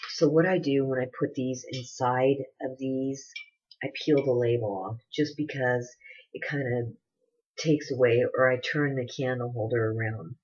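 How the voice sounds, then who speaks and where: A woman's voice: very deep voice, fairly even intonation, unhurried, darkish tone, breathy edge. A woman in her forties chatting casually in her living room, gushing over products and rambling between them. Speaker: beauty vlogger. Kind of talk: casual product haul chat. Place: living room at home, indoors. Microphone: webcam at desk distance. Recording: dull lo-fi webcam audio with noise.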